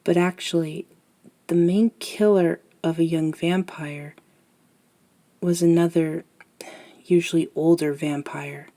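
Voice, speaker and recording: A woman playing a gentle, reminiscing elder vampire in a scripted ASMR roleplay, speaking softly and intimately with thoughtful pauses. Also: lowish voice, fairly even intonation. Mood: explaining, reassuring.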